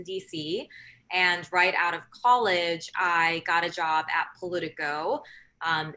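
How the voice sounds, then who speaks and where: Woman, 30s, from Hong Kong, political reporter, bright tone, lowish pitch, chatty. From born in Korea, raised in Hong Kong. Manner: warm, conversational, with fillers. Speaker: political correspondent, guest. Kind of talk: panel discussion answers.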